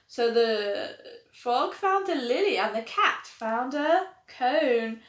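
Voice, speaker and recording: A woman reads a rhyming children's story aloud in a high, lively voice that rises and falls with every line. She takes her time over the verses, and the room adds a noticeable echo. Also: slow. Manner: animated, sing-song rhyming delivery. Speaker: storytime reader. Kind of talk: children's picture-book read-aloud. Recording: echoey room.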